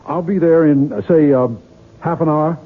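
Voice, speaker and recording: An actor playing an irritable, guilt-ridden novelist with a curt, weary, grumbling manner in a 1970s radio drama. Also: male voice, fairly high pitch, normal speed, lightly acted. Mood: eager, earnest. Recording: muffled phone-like vintage radio recording.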